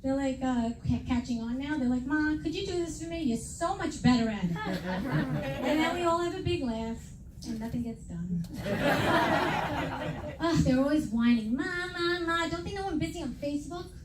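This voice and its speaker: This is a brash middle-aged woman from New York with a high voice, delivering stand-up with self-mocking punchlines. She is recorded from a distance in an echoey club. A loud crowd laughs all around her. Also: lively intonation, moderate pace, heavy background noise. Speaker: stand-up comedian. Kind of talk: stand-up comedy set. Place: comedy venue with a live audience. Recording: echoey room, mic heard from afar, loud crowd laughter.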